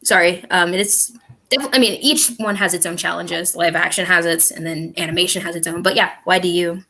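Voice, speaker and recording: A woman's voice: on the low side, steady tone, a little fast, pushed and bright. A young woman hosting a livestream over a video call, asking interview questions in an easygoing, friendly way. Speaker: livestream host. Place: small room at home.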